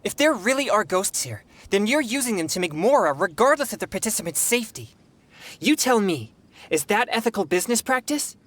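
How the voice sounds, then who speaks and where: A man voicing an earnest, principled young exorcist in a video game, speaking politely in formal, measured wording. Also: high voice, big pitch swings, a bit bright, exaggerated voice. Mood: indignant.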